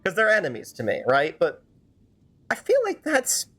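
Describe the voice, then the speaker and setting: A woman's voice: fairly low pitch, wildly swooping pitch, normal speed, a bit bright, breathy edge, faint music behind. A woman streamer improvising fan-dub commentary over a game, sarcastic and rambling.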